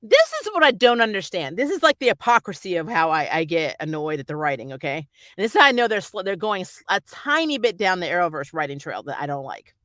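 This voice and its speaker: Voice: mid-range voice, big pitch swings, fast, few pauses, pushed and bright, no room sound, clean studio sound. A reviewer chatting casually about TV in a rambling, slangy, mildly exasperated and opinionated way. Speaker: YouTube TV series reviewer.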